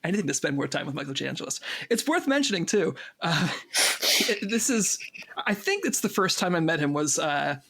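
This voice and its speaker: A man with a lively, swooping delivery and a slightly high voice tells casual stories as an interviewed cast member. His manner is jokey, with a gravelly fry in the voice. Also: brisk. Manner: jokey, animated.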